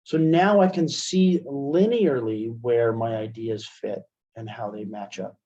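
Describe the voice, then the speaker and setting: A man giving an informal, step-by-step software demonstration as a webinar instructor, in dull lo-fi call audio. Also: medium pitch, measured pace.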